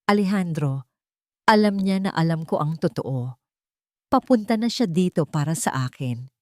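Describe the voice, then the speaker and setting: A woman's voice: fairly low pitch, expressive, normal speed, no echo, close to an everyday voice. Frightened yet defiant working-class heroine, trembling, pleading female voice acted by a woman narrator, dry audio drama sound. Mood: fearful. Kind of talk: dramatic audio story acting.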